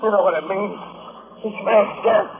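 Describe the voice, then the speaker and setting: Anxious pleading sailor, very high for a man, 1940s radio drama, muffled recording. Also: normal speed, gravelly, noisy background. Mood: fearful. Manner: urgent, nervy.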